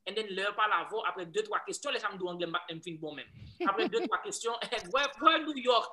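A young Haitian man with a Haitian accent, a guest telling his story in a casual interview, animated and full of restarts. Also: high-pitched, quick pace. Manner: animated storyteller, many restarts, growing louder as he goes. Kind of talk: casual interview, switching between English, Creole and French.

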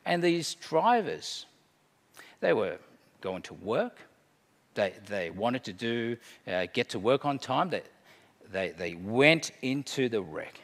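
A middle-aged man preaching a sermon, emphatic, swinging between hushed and loud delivery. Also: medium pitch, sing-song, slow. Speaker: pastor. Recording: long hall reverb.

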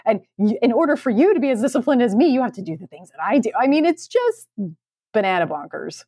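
A woman's voice: fairly high pitch, sing-song, fast talker, warm tone, slightly rough, no room sound, clean studio sound. A woman co-hosting a podcast in casual comedic chat, talking in a sarcastic, emphatic way. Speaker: podcast co-host.

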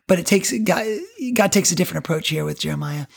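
A man, a preacher, talking earnestly about faith on a podcast, with a raspy voice and many fillers. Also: on the high side, fast. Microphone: podcast microphone.

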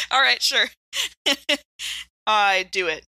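A woman playing a tabletop game, chatting casually in an animated, giggly manner. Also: medium pitch, drawn-out words, dry recording, low-quality recording.

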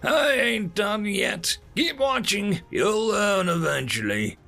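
Feisty, folksy veteran in his nineties, played by a male narrator; raised pitch, gravelly creak, taunting storybook delivery. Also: animated, slow, pushed and bright, faint music behind, exaggerated voice. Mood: grim, defiant. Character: defiant, folksy 95-year-old male war veteran.

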